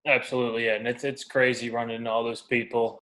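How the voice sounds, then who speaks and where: A man's voice: mid-range voice, little pitch movement, fast, sharp tone, rough recording. A man in his twenties from the United States, chatting casually as an athlete being interviewed. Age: 20s. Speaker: baseball pitcher, podcast guest.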